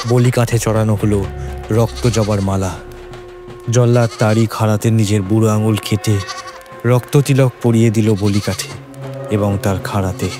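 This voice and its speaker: A man reading a scripted horror story as a dramatic narrator. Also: on the low side. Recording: music under the voice. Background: background music.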